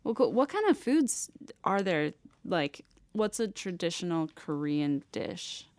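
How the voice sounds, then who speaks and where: A woman's voice: fairly low pitch, big pitch swings, measured pace, darkish tone, dry recording. A woman with an American accent chatting informally as a podcast co-host, often saying "like". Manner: chatty, informal, frequent use of "like". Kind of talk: casual podcast chat.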